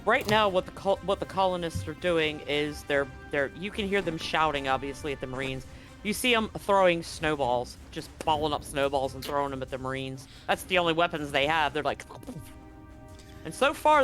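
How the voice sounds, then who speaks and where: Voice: mid-range voice, animated, normal speed, fairly bright tone, faint music behind. A game master narrating a tabletop roleplaying game in an informal, sweary way.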